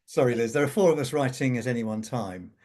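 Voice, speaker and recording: A man's voice: mid-range voice, fast, a little mellow. A man speaking as an interview guest, explaining his writing work informally, with hesitant, repeated phrases.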